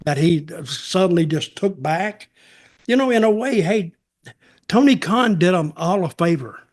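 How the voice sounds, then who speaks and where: A man's voice: high-pitched, expressive, measured pace, a little mellow. A man co-hosting a podcast, giving casual opinions in a blunt, sarcastic, folksy way. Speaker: podcast co-host, wrestling commentator.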